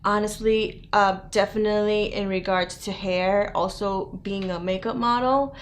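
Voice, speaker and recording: A woman's voice: medium pitch, fairly even intonation, moderate pace. Chatty young woman, faint noise. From New York, raised in South Florida. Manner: chatty, peppers speech with "like", laughs easily. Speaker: guest model. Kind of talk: casual interview chat.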